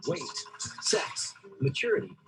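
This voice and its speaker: A man reading scripted narration for an explainer video in a steady, explanatory way. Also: unhurried, loud music behind the voice.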